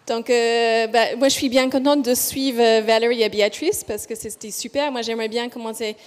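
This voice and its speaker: A woman from Australia with an Australian accent, presenting a research study at a conference, speaking slowly with frequent hesitations and self-corrections. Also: on the high side, fairly even intonation. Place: large conference hall. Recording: long hall reverb.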